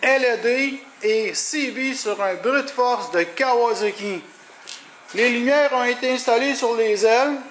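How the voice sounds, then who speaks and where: A man with a rather high voice and a Quebec French accent talks through an installation informally. He explains things in a colloquial, hands-on way, over faint background noise. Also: measured pace, a bit bright. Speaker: CB radio installer presenting his work. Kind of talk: casual product walkthrough.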